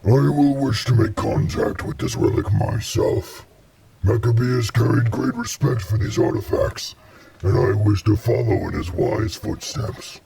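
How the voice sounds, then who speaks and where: An actor playing a proud, pious alien brute chieftain with a formal, pompous delivery in a sci-fi audio drama. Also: male voice, medium pitch, lively intonation, measured pace, gravelly, background noise. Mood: solemn, measured.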